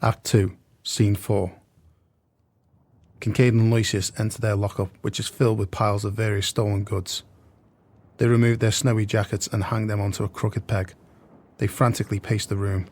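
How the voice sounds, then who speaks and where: A man's voice: lowish voice, level tone, normal speed, darkish tone. A man reading scripted stage directions as the narrator of an audio play.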